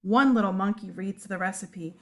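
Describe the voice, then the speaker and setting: A woman storyteller reading a picture book aloud to young children, expressive and deliberate. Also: mid-range voice.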